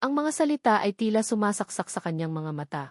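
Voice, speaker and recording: A woman's voice: mid-range voice, sing-song, fast talker, a little mellow, dry recording. Filipino woman narrating a story, dry sound. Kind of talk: scripted dramatic storytelling narration.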